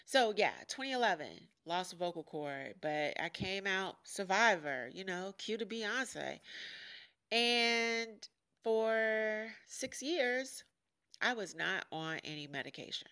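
Podcast host, woman in her 40s from Chicago; deep nasal tone, sharp edge, frank and joking. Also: expressive, takes it slowly, clean tone. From Chicago, USA. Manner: frank and joking, laughs often. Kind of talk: casual candid monologue.

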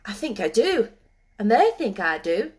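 A woman's voice: mid-range voice, sing-song, unhurried, darkish tone. A woman voicing a cheerful, friendly moorland boy in a storybook, with a Yorkshire accent and easy, earthy dialect speech. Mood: warm, easy.

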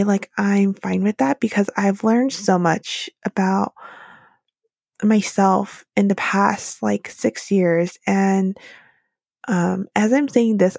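A woman's voice: medium pitch, vocal fry, mellow, dry recording, studio quality. A woman in her late twenties hosting a solo podcast, talking candidly and reflectively with plenty of "like" and "um". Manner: chatty, candid, lots of "like" and "um" fillers. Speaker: podcast host.

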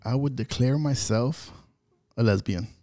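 A man hosting a casual chat who poses questions and jumps in with quick reactions. His voice sits at a medium pitch and is recorded dry with no room sound. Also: dark tone, dry recording. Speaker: podcast co-host.

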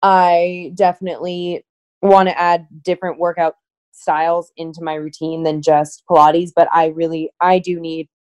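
Blunt young woman, lowish voice. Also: level tone, normal speed. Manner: blunt, loud, swears. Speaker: guest. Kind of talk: casual chat.

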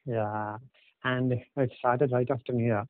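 A man with an Indian English accent, a native Telugu speaker, telling travel stories as a podcast guest in a halting, hesitant way. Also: medium pitch, fairly even intonation, no room sound. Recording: dry, tinny phone-like line.

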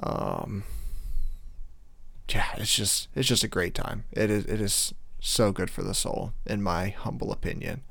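A male podcast host with a slightly hoarse voice, talking casually in a rambling way with many fillers. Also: fairly low pitch, unhurried, fairly bright tone, dry recording. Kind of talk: casual solo podcast intro.

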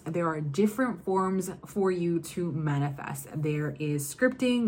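Low-voiced chatty woman coach. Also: a little hiss or hum. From Virginia, US. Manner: chatty, repeats "go ahead and". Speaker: love and confidence coach. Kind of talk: casual talk to camera.

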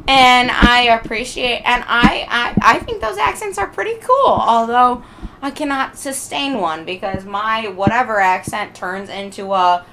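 A young adult woman in casual, joking podcast chat, talking in an informal and animated way. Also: fairly high pitch, unhurried, background noise. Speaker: podcast guest and occasional co-host.

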